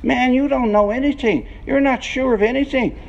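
A man's voice: very high voice, fairly even intonation, moderate pace, gravelly, darkish tone, lo-fi sound, pitched above the performer's own voice, full character voice. A man reading aloud the part of a frank, lovelorn biker in a blunt, colloquial, emphatic manner. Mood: probing. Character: tall lanky lovelorn biker, frank and questioning.

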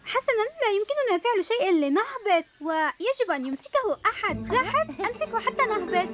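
A woman's voice: very high-pitched, very animated, fairly quick. A woman voicing a caring, earnest six-year-old girl superhero in a dubbed cartoon. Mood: focused. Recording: studio voice with very loud cartoon music.

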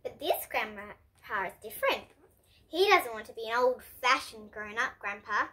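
A young girl reads a storybook to other children. Her voice is high and bright, and it rises and falls in a very animated way. She tells it expressively, with the odd stumble over a word. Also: moderate pace. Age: child, about 8 to 11. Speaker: host and reader of children's bedtime books. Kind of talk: reading a picture book aloud for children. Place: indoor room with bookshelves.

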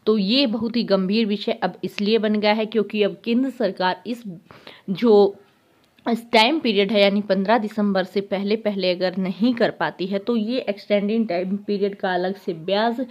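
A woman hosts a news update at a medium pitch. She talks conversationally and pauses to restart her sentences. The recording sounds a little dull and lo-fi. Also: steady tone, darkish tone. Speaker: news channel host.

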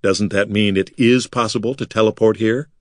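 A man voicing a brooding half-orc who talks in a low, grim, blunt way. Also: steady tone, moderate pace, a little mellow, no room sound, clean studio sound, lightly acted. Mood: probing.

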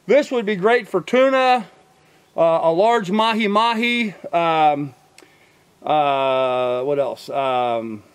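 Older man, high voice, rambling gear talk. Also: slow, clean tone, no echo. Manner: rambling, many ums and uhs, self-correcting. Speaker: vlogger showing fishing gear. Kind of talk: casual show-and-tell. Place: outdoors by a truck in a field. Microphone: camera mic from a few feet.

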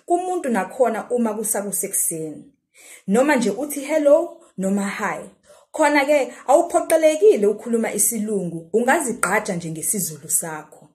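A woman plays a proud, kindly father in a children's storybook, with a South African Zulu accent. The delivery is deliberate and gently instructive, with a teasing fondness for a young son. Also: fairly high pitch.

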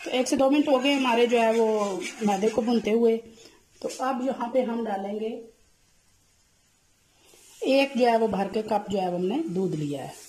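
A woman, hosting a cooking channel and narrating a recipe step by step, often filling pauses with "jo hai vo". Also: fairly high pitch, slight background noise, rough recording. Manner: leans on the filler "jo hai vo", gentle instructive tone.

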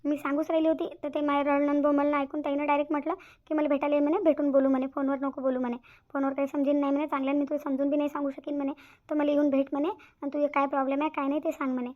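A woman's voice: sits high, level tone, very fast, phone-quality sound, natural voice. A woman voicing an anxious, stubborn young village bride-to-be in a cartoon, plaintive and fretful, with a rural Maharashtrian accent. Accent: rural Maharashtrian Marathi. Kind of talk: cartoon comedy dialogue.

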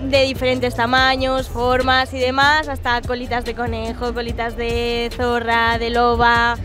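A woman's voice: a little high, monotone, measured pace, music in the background. A young woman with a Spanish accent from Spain, answering frankly and eagerly into a handheld mic outdoors at night. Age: young adult. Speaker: interviewee. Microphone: handheld mic held by interviewer.

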